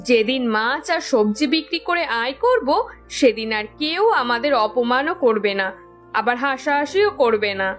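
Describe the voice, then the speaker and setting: A woman's voice: on the high side, expressive, a little slow, faint music behind. A woman voicing a stubborn, resourceful young village wife in a cartoon, speaking insistently and defiantly. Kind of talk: Bengali village cartoon dubbing.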